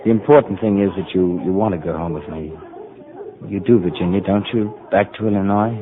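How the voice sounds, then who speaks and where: An actor playing a devoted, reassuring husband in a vintage radio drama. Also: male voice, low voice, a little fast, crowd noise, natural voice. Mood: earnest, uneasy. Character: devoted, encouraging husband, earnest and anxious to reassure. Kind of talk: 1940s radio drama acting. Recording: narrow old radio broadcast, audience murmur.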